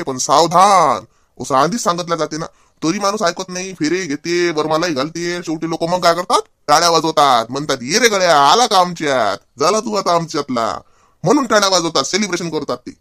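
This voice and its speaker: A man voicing a henpecked, sarcastic husband in a comedy cartoon, with a Vidarbha Marathi accent and drawn-out, mock-lamenting emphasis. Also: fairly high pitch, bright, forceful tone, no echo, studio quality. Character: henpecked, grumbling husband and son-in-law. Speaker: comic cartoon character.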